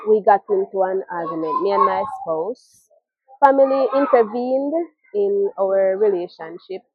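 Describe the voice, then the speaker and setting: Through thin, phone-like audio with very little room sound, a woman shares a personal story. Her voice is rough-edged and husky. She speaks haltingly, in short phrases broken by pauses and repeated words. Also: mid-range voice, dry recording. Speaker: storyteller, mother sharing her own story. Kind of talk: personal testimony, spoken storytelling.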